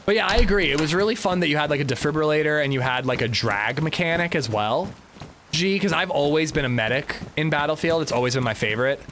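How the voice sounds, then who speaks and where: Chatty animated man streaming a game. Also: on the high side, fast, slight background noise. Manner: rambling, chatty, swears, reads chat aloud. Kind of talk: casual livestream chat while gaming.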